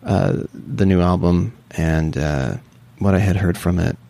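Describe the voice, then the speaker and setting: A man's voice: sits low, a little slow, vocal fry, mellow, no room sound. A man hosting a podcast, talking casually with lots of fillers and friendly questions. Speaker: podcast host. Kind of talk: casual interview and spoken intro.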